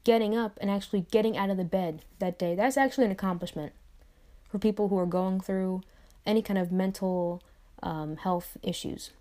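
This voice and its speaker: Mellow-voiced woman giving a motivational talk. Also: medium pitch, moderate pace. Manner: reflective, repeats phrases, frequent "right?" asides. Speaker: vlogger. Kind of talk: motivational monologue to camera.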